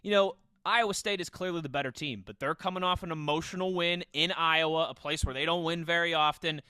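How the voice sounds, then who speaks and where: A man's voice: on the high side, a little fast, bright, forceful tone, clean tone, no echo. A man hosting a casual football podcast in a conversational way, steering the discussion. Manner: conversational, steers questions to co-hosts. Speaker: podcast host.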